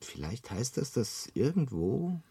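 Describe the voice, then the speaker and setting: A man's voice: medium pitch, big pitch swings, unhurried, creaky, dark tone, husky, dry recording, full character voice. An actor in a German radio play playing an earnest, bewildered ordinary man, a little hesitant. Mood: troubled, earnest.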